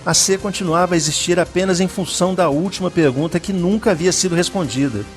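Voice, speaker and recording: A Brazilian man narrating a scripted dramatic story in a solemn, deliberate manner. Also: high-pitched, faint music behind.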